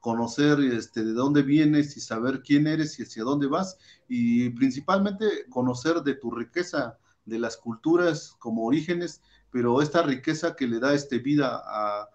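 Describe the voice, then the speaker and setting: A young man from Oaxaca with a Mexican Spanish accent, a Mazatec native speaker, talking as a guest artist in a video call and often pausing with "este". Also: medium pitch, a little mellow. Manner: hesitant, many fillers "este". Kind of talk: casual interview talk. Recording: dull lo-fi video call audio.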